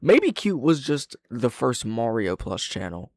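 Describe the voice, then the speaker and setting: A man gives casual reaction commentary in a very dry, close-sounding space. His pitch is middling for a man, and he thinks out loud, swearing freely and leaning on slang. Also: very animated, a little slow, no echo. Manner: profane, slangy, thinks aloud. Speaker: reaction video host.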